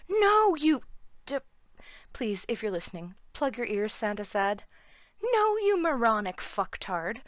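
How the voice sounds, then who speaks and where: A woman with a hoarse, worn voice, giving sardonic, irreverent scripted commentary for her video channel. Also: mid-range voice, no echo. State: hoarse from lost voice. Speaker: YouTube commentator.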